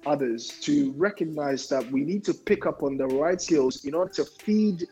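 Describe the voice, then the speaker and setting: A man speaking reflectively in a group discussion, deliberate and halting, often repeating words as he builds a point. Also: on the high side, lively intonation, darkish tone, faint music behind. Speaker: discussion panelist.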